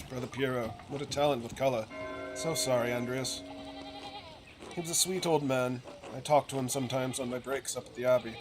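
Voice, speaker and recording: Village gossip for a game, read by a man: earnest, talkative, folksy, emphatic, medium pitch, over noticeable animal ambience. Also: noisy background, close to an everyday voice. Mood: chatty, gossipy. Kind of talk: game dialogue read aloud in character.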